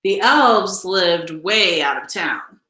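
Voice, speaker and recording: A woman reading a children's picture book aloud in an expressive, deliberate storytelling style. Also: lowish voice, echoey room. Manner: measured, deliberate storytelling delivery with emphasized phrases. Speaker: storybook reader.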